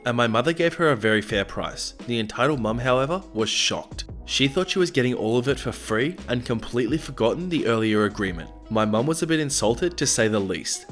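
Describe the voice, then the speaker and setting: A man who is sick and slightly raspy, narrating reddit stories with casual, sarcastic asides. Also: mid-range voice, fairly bright tone. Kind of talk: reading reddit stories aloud. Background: faint background music.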